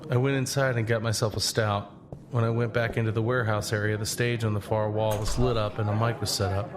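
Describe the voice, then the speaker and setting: A man's voice: lowish voice, level tone, a little fast, background noise, natural voice. An actor playing a reflective young traveler, narrating his own story in a scripted audio drama. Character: reflective young male traveler back from a long walk. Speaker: narrator and lead character.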